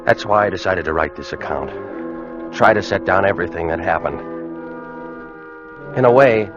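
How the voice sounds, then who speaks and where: An old radio adventure, muffled, with music clearly behind the voice. a doomed American sailor with a low voice, telling his story in a grave, haunted, measured way. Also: expressive. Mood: grim, resigned. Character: weakened, fearful American sailor recording his doom. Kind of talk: first-person radio drama narration. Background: dramatic music.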